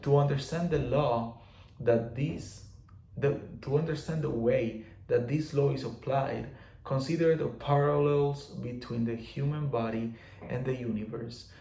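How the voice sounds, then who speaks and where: Man with a gravelly voice. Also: mid-range voice, steady tone, unhurried, warm tone, reverberant, a little hiss or hum, poor audio. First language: Spanish. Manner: loose and repetitive, many fillers and restarts. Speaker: teacher of spiritual laws. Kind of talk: casual explanatory talk.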